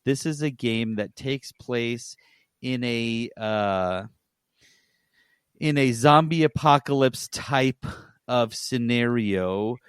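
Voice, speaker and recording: A man's voice: medium pitch, slow, clean tone, dry recording, studio quality. A man co-hosting a podcast, giving a casual, rambling review and often correcting himself as he goes. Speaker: podcast co-host reviewing games.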